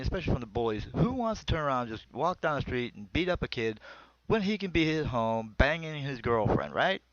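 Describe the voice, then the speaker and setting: A man's voice: mid-range voice, a bit bright, muffled recording. A middle-aged man with an American accent tells comic personal stories in a colloquial, rambling, crude-humored way. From small town in northwestern Pennsylvania, United States. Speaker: comedian telling stories. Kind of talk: comic personal storytelling monologue.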